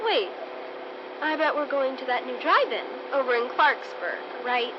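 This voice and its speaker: A woman's voice: high voice, expressive, a little slow, lo-fi sound. An actress playing a frightened, breathless teenage girlfriend in an old-time radio drama. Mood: nagging, suspicious. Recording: band-limited vintage radio sound, noisy effects.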